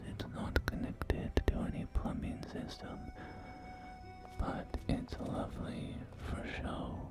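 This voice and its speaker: A man's voice: mid-range voice, vocal fry. A man whispering in an ASMR roleplay as a courteous, enthusiastic post-apocalyptic real estate agent, with genteel, hesitant sales patter. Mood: softly enthusiastic. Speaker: roleplay performer. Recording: music behind close whispering. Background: background music.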